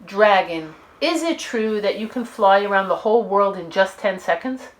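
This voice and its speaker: A woman's voice: medium pitch, animated, moderate pace, natural voice. A woman voicing a bold, clever young princess in a children's storybook, with exclamations and pointed emphasis. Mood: eager, flattering. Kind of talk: children's storybook read-aloud.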